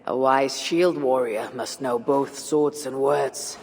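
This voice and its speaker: Man playing steady Viking warrior over noisy ambience. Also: medium pitch, natural voice. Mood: steady, resolute. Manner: measured, formal archaic phrasing. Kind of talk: dramatic video game acting. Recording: noisy game ambience behind the voice.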